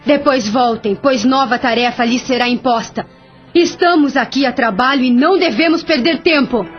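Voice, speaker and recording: A woman's voice: high-pitched, moderate pace, natural voice. A woman playing a stern commanding spirit. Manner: cold, commanding. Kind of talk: radio drama acting. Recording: dull lo-fi audio with faint music.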